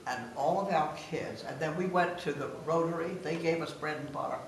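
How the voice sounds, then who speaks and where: A woman's voice: low voice, a little fast. A woman in her nineties with a Southern American accent, telling old stories casually to family. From South Carolina, United States. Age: 90s. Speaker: guest of honour reminiscing. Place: room at a family gathering. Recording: echoey room, distant mic, clear noise.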